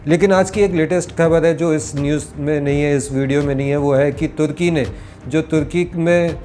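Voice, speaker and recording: A man giving conversational news commentary, speaking deliberately, phrase by phrase, as a host addressing viewers. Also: fairly high pitch, steady tone, dark tone, slight background noise.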